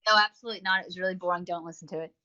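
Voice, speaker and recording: A woman podcast co-host chats very quickly in a casual, rambling, enthusiastic way. Her voice sits a little low for a woman. The recording is somewhat dull and lo-fi. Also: steady tone, bright, forceful tone. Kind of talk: casual review chat. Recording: dull lo-fi audio.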